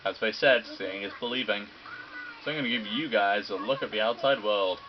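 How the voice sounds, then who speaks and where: A man voicing a gleeful, sinister male robot bear headmaster who lectures in a mocking, theatrical, drawn-out way. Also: on the low side, lo-fi sound, slight background noise, close to an everyday voice. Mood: sinister lecturing. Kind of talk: video game dub reading.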